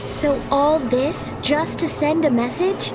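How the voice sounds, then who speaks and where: An actress playing an eager, cocky teenage alien apprentice in an animated game, sassy and impatient. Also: female voice, high voice, slow, loud music behind the voice. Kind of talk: animated game cutscene acting.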